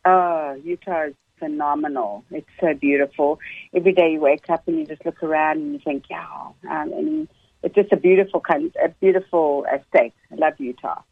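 A woman's voice: low-pitched, fairly even intonation, normal speed, dry recording. South African woman on radio, tinny phone-line audio, dry, chatty. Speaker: guest, recruiting company founder. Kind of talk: radio interview.

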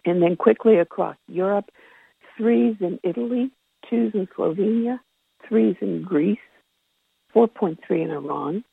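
Husky, creaky voice on a tinny phone line. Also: mid-range voice, a little slow. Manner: conversational, self-correcting. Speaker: conference call presenter giving an earthquake report. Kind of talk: informal spoken report read from notes. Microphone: telephone line. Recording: tinny, phone-like conference call line with echo.

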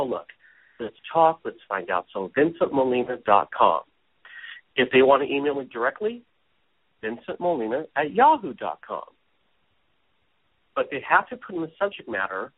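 A man in his forties from Hawaii, a business strategist speaking as a guest in a casual interview from home, chatty and self-deprecating. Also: mid-range voice. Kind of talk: casual talk show interview. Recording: phone-like audio.

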